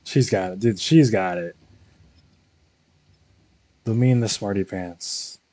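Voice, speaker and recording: A young man with a husky voice giving casual, slangy live commentary on a game, musing to himself. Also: fairly low pitch, slow, warm tone. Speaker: game streamer.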